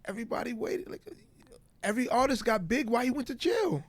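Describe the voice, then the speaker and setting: Stuttering Southern US man, high voice, dry studio podcast sound. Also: very animated, fast talker, no echo. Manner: stutters, repeats phrases like "bro" and "real shit". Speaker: guest rapper. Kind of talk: candid interview talk.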